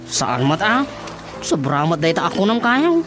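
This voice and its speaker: An actor playing a naive, earnest young son with an Ilocano accent in a radio comedy-drama. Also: male voice, on the high side, big pitch swings, moderate pace. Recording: prominent music under the dialogue.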